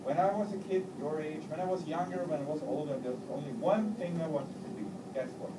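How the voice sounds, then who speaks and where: Lively man, forties, teasing and quizzing the kids, heard from across a noisy echoey room. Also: a little high, fast. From Austria. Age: 40s. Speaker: airline pilot giving a talk to children. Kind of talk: playful talk to a young audience. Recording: roomy, distant mic, loud crowd and noise.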